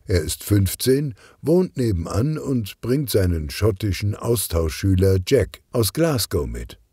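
A man's voice: lowish voice, very animated, measured pace, warm tone, slightly rough, dry recording, clean studio sound, exaggerated voice. A man voicing a cheerful, chatty, teasing woman in a children's story, explaining things in a friendly way.

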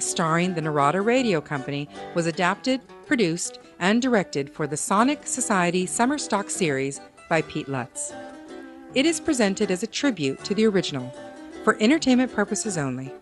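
A woman delivers scripted announcements in a slightly low voice. Her intonation is very varied and animated. Faint plucked-string music plays underneath. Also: moderate pace. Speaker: announcer reading cast credits. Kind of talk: scripted narration.

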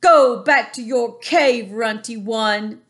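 A woman voicing a huge, menacing male giant who taunts in a booming voice. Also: slow, fairly bright tone. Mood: taunting.

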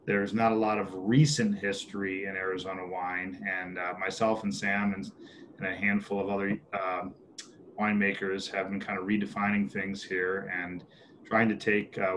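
A man's voice: low voice, a bit bright, slight background noise. A man in his forties, a winemaker, introducing himself on a video call in a hesitant way, with plenty of fillers. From born in Chicago, raised in Boston. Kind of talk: casual webinar introduction.

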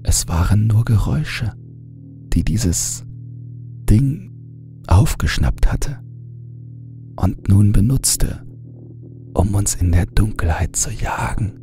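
A man narrating a horror audiobook in a hushed, often whispering manner, with music underneath. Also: lowish voice, animated, slow pace, pauses often.

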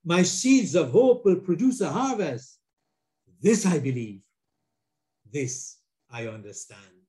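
A man's voice: a little high, sing-song, slow pace, warm tone. An older man moderating a session, reading and introducing formally on a headset over a video call. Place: online video call, indoors. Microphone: earphone headset.

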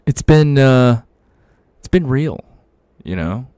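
A man's voice: medium pitch, expressive, warm tone, no echo, studio quality. A man in his thirties co-hosting a livestream from home, bantering and teasing in a joking way. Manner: joking, teasing, quick laughs. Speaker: co-host of a history reading stream. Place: room at home.

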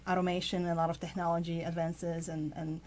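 A woman's voice: fairly low pitch, level tone, a little mellow, slight background noise. A woman in her forties from Poland, a guest on a radio show, explaining methodically into a studio mic. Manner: methodical, halting with fillers. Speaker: guest, tech co-founder. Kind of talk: radio interview. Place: small padded radio studio. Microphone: studio mic with pop filter.

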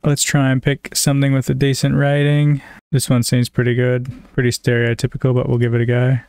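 A man with an Australian accent, giving a casual software tutorial as a voice-over. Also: medium pitch, monotone, fairly quick, clear, resonant tone, no echo, clean studio sound. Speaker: tutorial presenter.

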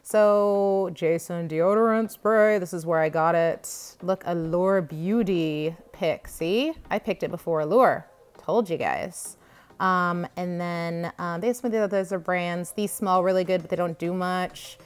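A woman's voice: fairly low pitch, unhurried, clear, resonant tone. A woman chatting casually and enthusiastically as she vlogs her shopping, recorded on a handheld phone inside a grocery store. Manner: enthusiastic, gushing over products. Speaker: vlogger giving a grocery haul tour. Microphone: handheld phone behind the camera. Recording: store ambience noise, faint music behind.

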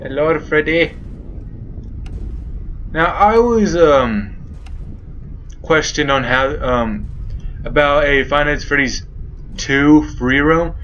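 A man's voice: on the high side, slow, long pauses, a bit bright, highs cut off, a little hiss or hum. A young man doing rambling, joking commentary while playing a horror game. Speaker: gaming youtuber. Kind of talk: let's play commentary.

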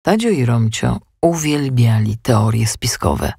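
A man's voice: mid-range voice, expressive, a little slow, creaky, darkish tone, breathy edge, dry recording, studio quality. A man narrating a scripted children's audiobook story.

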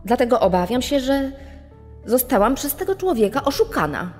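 An actress voices a wary woman testifying in a podcast drama. Her voice is soft-edged and slightly high, her phrasing measured and formal. Music and a long reverb surround her. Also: big pitch swings. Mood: measured, concerned. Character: wary female client who suspects she was swindled. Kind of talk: true-crime podcast dramatization.

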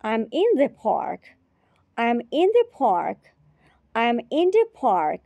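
A woman teacher repeating short phrases slowly and carefully in a repetition drill. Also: fairly high pitch, huge pitch swings, gravelly, mellow, dry recording. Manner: repeats each phrase three times, carefully.

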